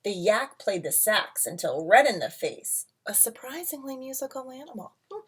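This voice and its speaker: A woman reading a picture book aloud to children as a teacher, with dramatic emphasis, drawn-out words and casual asides. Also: on the high side, very animated, breathy edge. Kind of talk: expressive storybook read-aloud with casual asides. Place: at home.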